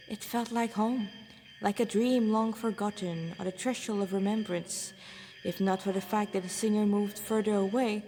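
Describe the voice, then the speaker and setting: A woman's voice: mid-range voice, warm tone, slight background noise. A woman reading her own fan fiction aloud in an informal, amateur way, as its writer. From Montreal, Canada. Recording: echoey room with long reverb.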